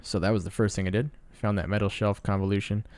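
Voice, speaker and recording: A man presenting a casual sound design tutorial in a low-key, explanatory way. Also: steady tone, fast, darkish tone, dry recording. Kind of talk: casual screen-recorded tutorial narration.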